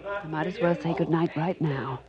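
A woman's voice: low voice, moderate pace, lightly acted. A muffled 1940s radio recording with a busy crowd behind. An actress plays a wry female companion who sounds dryly bored and sardonic. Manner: dry, sardonic.

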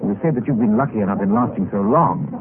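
An actor playing a wary, formal gentleman newcomer in an old-time radio mystery. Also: fairly low pitch, quick pace, phone-quality sound, a crowd in the background, lightly acted. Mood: polite, doubtful. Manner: polite, formal, questioning. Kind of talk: old-time radio drama acting and narration. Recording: band-limited vintage radio recording with hiss.

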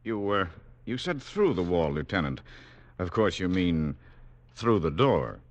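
An actor playing a skeptical but patient senior officer, questioning hesitantly in 1940s radio drama style. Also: male voice, deep voice, sing-song, over loud music, exaggerated voice. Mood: doubtful, patient. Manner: hesitant, doubtful, measured. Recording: noisy old radio recording with clicks.

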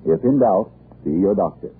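Animated, husky man, old radio announcer. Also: low-pitched, normal speed. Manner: emphatic, polished salesmanship. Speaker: announcer and sponsor pitchman. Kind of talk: 1940s radio commercial. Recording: muffled, band-limited old radio broadcast.

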